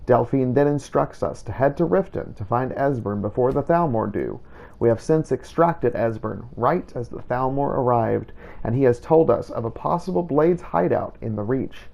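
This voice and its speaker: A man's voice: medium pitch, animated, moderate pace, vocal fry, darkish tone, highs cut off. A man narrating a video game roleplay playthrough in a scripted, storytelling style. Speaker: host of a game playthrough series.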